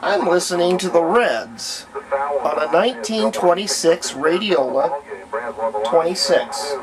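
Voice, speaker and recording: A man's voice: fairly high pitch, lively intonation, a little slow, over a noisy crowd, low-quality recording. An enthusiastic man giving a casual hands-on demonstration in an offhand, chatty style. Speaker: vintage radio hobbyist demonstrating a set. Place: small indoor room of vintage radios. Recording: loud radio static and hum. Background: old radio playing with static.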